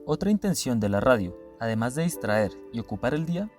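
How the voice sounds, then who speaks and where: A man's voice: mid-range voice, big pitch swings, brisk, darkish tone, faint music behind. A man reading scripted documentary narration.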